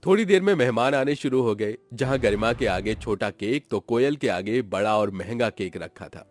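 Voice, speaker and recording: A man's voice: lowish voice, lively intonation, moderate pace. A man narrating a scripted moral story in a dramatic storytelling style.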